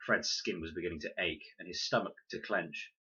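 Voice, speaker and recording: A man reading a children's novel aloud in an expressive narration style. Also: sits low, brisk, slightly rough, dull audio. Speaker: audiobook narrator, reader.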